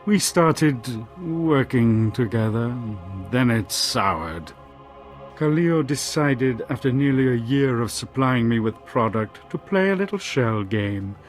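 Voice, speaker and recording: A man acting a smooth, menacing arms dealer in a video game, oily and businesslike. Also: medium pitch, animated, takes it slowly, faint music behind. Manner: oily, businesslike, veiled threats.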